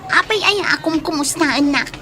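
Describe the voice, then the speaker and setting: A woman's voice: high voice, brisk, crowd noise, noisy background, close to an everyday voice. A woman acting a sulky, haughty young woman in an Ilocano radio drama. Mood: irritated, dismissive. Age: young adult.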